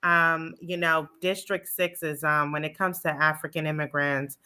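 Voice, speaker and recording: A woman from the United States with a bright, clear-edged voice, appearing as a guest attorney. She answers in a talkative, conversational way, often filling pauses with um. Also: low voice, steady tone. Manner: talkative, frequent um fillers.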